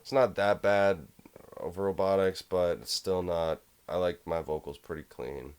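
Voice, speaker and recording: Low-voiced young man, relaxed tutorial. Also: unhurried, clean tone. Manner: relaxed, rambling, with fillers. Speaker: music production tutor. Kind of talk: casual screen-recorded tutorial.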